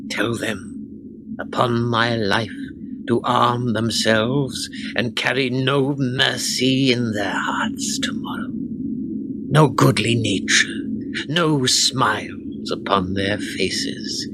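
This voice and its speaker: An actor playing a cold, bitter young medieval lord in a radio play, declaiming in archaic speech with brooding pauses. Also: male voice, medium pitch, fairly even intonation, background noise. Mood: grim, commanding.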